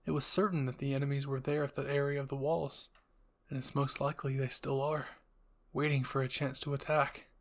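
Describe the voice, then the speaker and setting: Man narrating a scripted roleplay story. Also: mid-range voice.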